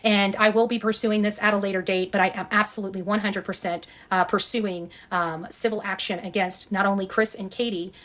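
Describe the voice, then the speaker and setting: A woman's voice: medium pitch, fairly even intonation, brisk, hardly any pauses, a bit bright. A woman giving unscripted commentary as a video journalist to her followers, speaking in a defiant, emphatic and blunt way. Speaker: video journalist and online channel creator.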